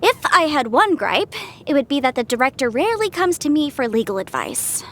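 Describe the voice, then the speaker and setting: A woman's voice: high-pitched, fairly quick, slight background noise. A woman voicing a confident, chatty young female legal adviser in a video game, articulate and slightly bookish. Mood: matter-of-fact. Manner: articulate, expressive, self-assured, slightly bookish. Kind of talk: scripted game character voice lines.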